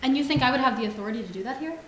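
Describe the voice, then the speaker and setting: Wry, high-voiced woman scientist played by an actress. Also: animated, very fast, a bit bright. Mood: wry, skeptical. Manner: dry, skeptical, questioning. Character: wry, guarded, serious female geneticist. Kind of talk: staged musical reading, sci-fi dialogue. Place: theatre stage. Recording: roomy theatre recording with faint noise.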